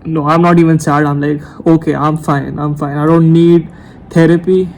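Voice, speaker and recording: Brief: young man around nineteen, unscripted late-night vlog, rambling with restarts, monotone, slightly raised pitch. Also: normal speed, creaky, slight background noise. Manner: rambling, hesitant, self-correcting. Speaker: YouTuber. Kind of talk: unscripted talk to camera. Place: room at night. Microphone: camera mic.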